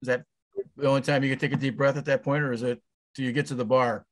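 Man in his sixties, show host, rambling chatty questions, average pitch, video call. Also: little pitch movement, fast talker.

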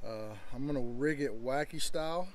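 A man's voice: mid-range voice, normal speed. A man, talking folksy and casual to his viewers while fishing outdoors on the water. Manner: folksy, talks straight to viewers. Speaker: fishing vlogger.

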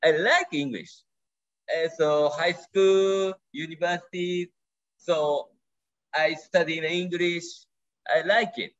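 A man in his thirties from Japan, speaking English with a Japanese accent in short, broken, excited phrases as an interview guest on a video call. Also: a little high, takes it slowly, dull audio. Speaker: guest angler. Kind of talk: casual interview. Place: home room.

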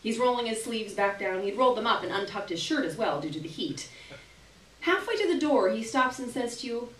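A young adult woman giving an expressive, dramatic reading of literary fiction on stage, acting out the dialogue. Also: fairly high pitch, fairly quick. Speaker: performer reading a short story. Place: small indoor gallery with audience. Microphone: camera at a distance from stage. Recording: echoey room, distant microphone.

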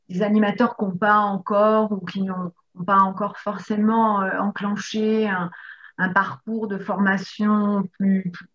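An older woman with a mid-pitched voice, heard through dull, lo-fi video call sound. She interviews without a script, halting often and searching for her words as she builds a question. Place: room with bookcase, indoors. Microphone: video call, computer microphone.

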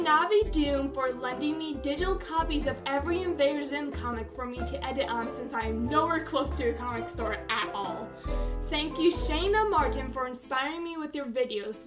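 A young woman with a very high voice makes scripted announcements as a channel host. She sounds a good way from the mic, and music plays clearly behind her. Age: young adult. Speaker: channel host and dub director. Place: bedroom, indoors. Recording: distant camera pickup under music. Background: background music.

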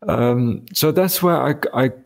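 Gravelly German-accented man, hesitant. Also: medium pitch, measured pace. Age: 50s. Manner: hesitant, many restarts and fillers. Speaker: guest designer. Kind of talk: casual interview talk.

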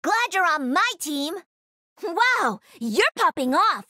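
A woman's voice: very high-pitched, sing-song, slow, bright, forceful tone, no echo, studio quality, exaggerated voice. A woman voicing a cocky, playful young gamer who pilots a mech in a video game, with punchy, teasing callouts. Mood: confident, upbeat. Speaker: voice actor.

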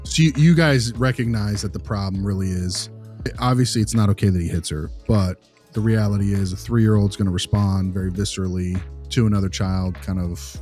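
A man's voice: low voice, dark tone, natural voice. A man playing an easygoing behavior consultant in a conversational role-play, casual and reassuring. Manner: casual, reassuring, rephrases what he hears. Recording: music bed under the voice.

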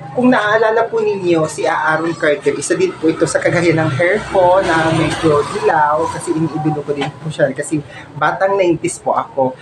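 Higher-voiced Filipino man, very noisy recording. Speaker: vlogger showing a personal collection. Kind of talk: informal show-and-tell to camera. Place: small cluttered room at home. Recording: very noisy home phone recording.

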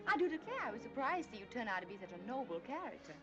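An actress playing a spoiled, haughty young widow, high and petulant, on a dull old film soundtrack with music. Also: female voice, big pitch swings, rapid-fire. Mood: indignant.

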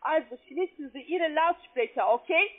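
A woman with a foreign accent, speaking halting, ungrammatical non-native sentences as a call-centre agent on a sales call. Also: sits high, lively intonation. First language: not German. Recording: narrow tinny phone line.

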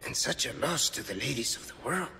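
An actor voicing a cheeky, theatrical Spanish adventurer in a video game, with a teasing, flamboyant manner. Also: male voice, mid-range voice, lively intonation, fairly quick, vocal fry, pushed and bright, slight background noise. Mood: dying, wistful. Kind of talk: video game cutscene acting. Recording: music behind the voice.

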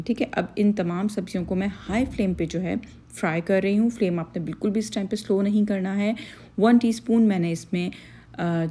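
A woman talks viewers through a recipe in a chatty, friendly voice-over. Her voice is mellow and warm, sitting at an ordinary middle pitch. She keeps it easygoing, with little playful asides. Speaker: cooking channel host. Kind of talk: voice-over cooking tutorial.

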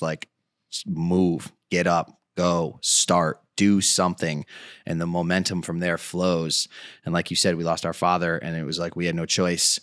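A man in his thirties hosting a podcast, talking earnestly in a casual pep-talk style with restarts, in a studio at a boom mic. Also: fairly bright tone, no room sound. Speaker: podcast host. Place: dim studio set with couches. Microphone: boom mic on stand, headphones.

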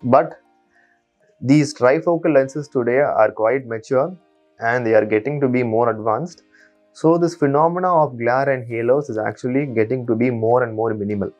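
A man in his thirties, an eye surgeon, calmly and methodically explaining to patients from a small clinic office on a lapel mic. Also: mid-range voice, moderate pace, lo-fi sound, faint music behind. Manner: calm, methodical, steady explainer. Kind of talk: explanatory patient education talk.

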